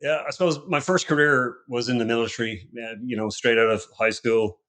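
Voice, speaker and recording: A man's voice: medium pitch, steady tone, a little fast, a bit bright. A middle-aged Irish man from Ireland, a company founder talking as a podcast guest in a casual, conversational way with many restarts and fillers. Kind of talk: casual interview talk.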